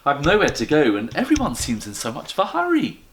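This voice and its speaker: A man's voice: fairly high pitch, wildly swooping pitch, brisk, fairly bright tone, a little hiss or hum, pitched above the performer's own voice, heavily acted, low-quality recording. Man voicing a small, polite, earnest storybook bear. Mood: eager, polite. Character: small, polite, shy young bear stowaway.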